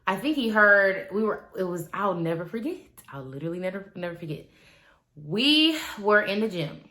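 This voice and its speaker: A woman, talking casually and animatedly to her viewers, swinging between loud asides and quiet trailing remarks. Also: mid-range voice, big pitch swings, moderate pace. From Milwaukee, Wisconsin. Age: 20s. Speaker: vlogger. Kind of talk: casual confessional vlog.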